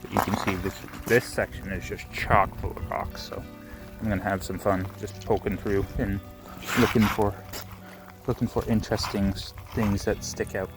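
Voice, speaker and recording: Casual male outdoor vlogger, lowish voice, laid-back, musing, rain and music behind. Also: moderate pace, poor audio. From Canada. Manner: relaxed, musing, thinks aloud with restarts. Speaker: rockhounding vlogger. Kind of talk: casual outdoor vlog narration. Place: rainy beach outdoors.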